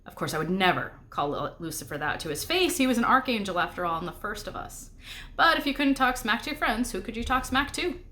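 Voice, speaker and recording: Woman narrator, medium pitch, expressive audiobook reading, snarky first-person storytelling, middle grade fiction. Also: fairly quick. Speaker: narrator reading a book aloud.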